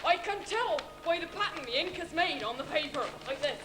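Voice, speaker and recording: A woman's voice: sits high, animated, fairly quick, reverberant, background noise. A woman playing a cocky blind pirate treasure hunter in a stage pantomime, with exaggerated pirate talk. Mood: confident.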